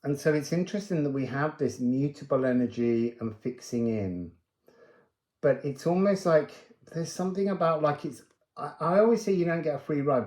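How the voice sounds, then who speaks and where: A man giving an unscripted spiritual card reading, musing aloud with pauses and emphatic phrases. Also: on the high side, darkish tone, roomy sound. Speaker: card reader and spiritual guide.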